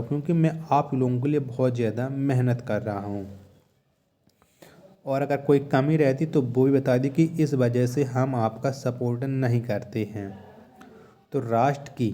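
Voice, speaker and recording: A man's voice: mid-range voice, warm tone. A man teaching an exam-prep quiz lesson in an explanatory, repetitive way, posing short check questions and repeating answers.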